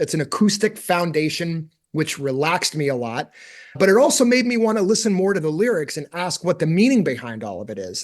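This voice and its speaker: Eager man hosting a podcast. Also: fairly high pitch. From United States. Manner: eager, warm, lightly self-deprecating. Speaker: podcast host. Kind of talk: podcast interview with a read-out intro.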